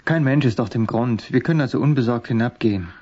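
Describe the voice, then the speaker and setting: An actor playing a devout, determined young shepherd with an earnest manner in a radio play. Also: male voice, mid-range voice, quick pace, darkish tone, dull audio, slight background noise, close to an everyday voice. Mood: calm, assured. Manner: earnest, explanatory, resolute.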